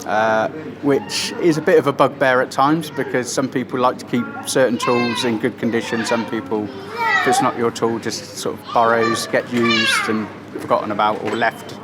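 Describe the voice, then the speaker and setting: A man who shares tools at work, answering interview questions in a plain-spoken, halting way. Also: mid-range voice, steady tone, very noisy.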